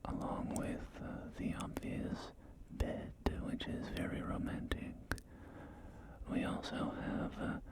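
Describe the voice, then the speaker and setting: A man playing a polite, salesy post-apocalyptic real estate agent in a whispered ASMR roleplay, with hesitant, soft-spoken patter. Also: slow pace, lightly acted. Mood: suggestive, sly. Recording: close whisper under loud music. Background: loud music.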